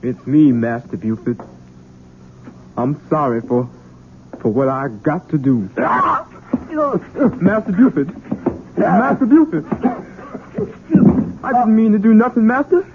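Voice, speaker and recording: A high, husky-voiced simple country son, gentle and troubled. He speaks slowly and haltingly in a childlike drawl. It sounds like a muffled vintage radio play. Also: noisy background. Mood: sorrowful, pleading. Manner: halting, childlike, folksy speech. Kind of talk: 1970s radio drama acting.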